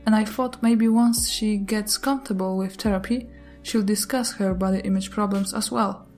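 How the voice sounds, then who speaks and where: A woman whose first language is not English, reading online posts and comments aloud in a steady way, with brief chatty asides. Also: medium pitch, normal speed, soft background music. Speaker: YouTuber reading Reddit posts.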